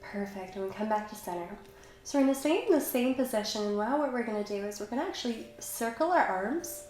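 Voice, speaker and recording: Young woman, slightly stuffy from a cold, calm encouraging instructor, fairly high voice, very echoey room, faint hiss. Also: quick pace. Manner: calm, encouraging, talks while exercising. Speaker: pilates instructor. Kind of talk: guided workout instruction.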